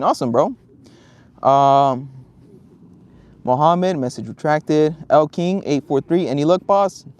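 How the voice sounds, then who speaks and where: A man's voice: a little high, darkish tone. A young man from Southern California chatting casually on a fishing livestream outdoors at a lake, reading out viewer comments in a laid-back, rambling way with lots of ums.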